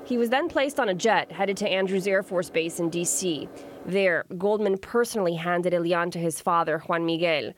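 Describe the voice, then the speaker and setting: A woman's voice: on the low side, moderate pace, a little hiss or hum. A woman in her thirties, a TV news reporter reading scripted narration.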